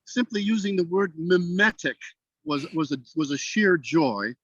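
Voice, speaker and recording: Male poetry reader, formal and deliberate, unhurried pace, dry but dull lo-fi call sound. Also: fairly high pitch, animated, fairly bright tone, dry recording. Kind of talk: measured poem recitation, then thoughtful comment.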